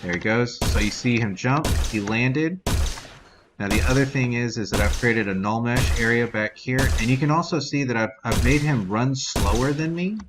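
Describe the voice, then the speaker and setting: A man giving a casual software tutorial as an instructor, explaining in an informal, rambling way. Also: mid-range voice, normal speed, over music. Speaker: game development instructor. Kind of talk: casual screen-recorded software tutorial walkthrough. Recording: dull lo-fi mic with background noise.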